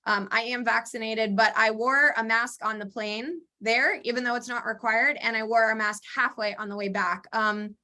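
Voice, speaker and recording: A woman co-hosting a podcast in casual chat, talking excitedly and laughing often, her voice a little strained as she recovers from laryngitis. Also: fairly high pitch, moderate pace, few pauses. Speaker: podcast co-host.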